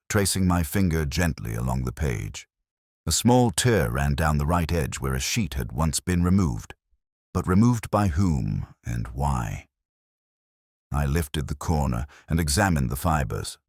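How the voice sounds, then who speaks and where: A man reading a scripted first-person detective story in a measured, brooding, reflective manner. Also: extremely low pitch, darkish tone, no echo, studio quality. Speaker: narrator.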